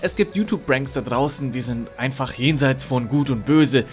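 A man's voice: medium pitch, moderate pace, faint music behind. Young man ranting with sarcastic indignation. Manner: indignant, sarcastic, lively delivery. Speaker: YouTube commentary host. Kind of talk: scripted commentary voice-over.

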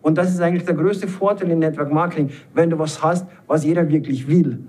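A man in his fifties giving an enthusiastic, emphatic network marketing pitch, repeating words for stress. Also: fairly even intonation, fairly quick, a little mellow, husky. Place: indoor event room, panel seated around a table.

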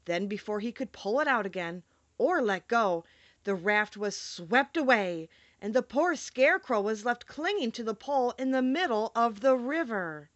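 A woman's voice: on the high side, expressive, moderate pace, no room sound, muffled recording. A woman reading a children's storybook aloud as an audiobook narrator. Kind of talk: expressive scripted storybook narration.

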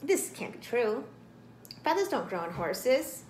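Woman playing a bossy cartoonish storybook rooster, proud and indignant, squawking, very animated, roomy sound. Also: fairly high pitch, a little slow, slight background noise.

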